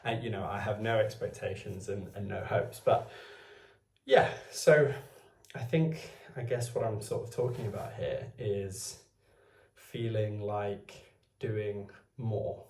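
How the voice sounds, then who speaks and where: Low gravelly man musing aloud. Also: takes it slowly, a little mellow, reverberant, far from the mic. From Wales. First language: Welsh. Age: 20s. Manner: meandering, thinking aloud. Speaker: vlogger. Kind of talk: unscripted musing to camera. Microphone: phone.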